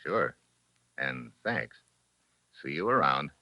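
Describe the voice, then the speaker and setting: An actor playing a tough, wry American investigator in a 1940s radio detective drama, with clipped, questioning delivery. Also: male voice, extremely low pitch, sing-song, slow pace, highs cut off, heavy background noise, full character voice. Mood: probing, casual. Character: tough, probing male American investigator, unruffled and sardonic.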